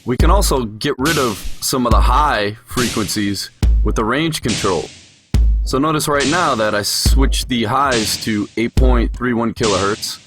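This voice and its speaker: A man's voice: medium pitch, unhurried. A man giving an explanatory voice-over tutorial as a music production instructor.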